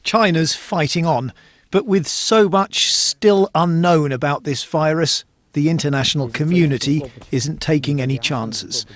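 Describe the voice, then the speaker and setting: Higher-pitched male news correspondent. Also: unhurried. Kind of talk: scripted news report narration.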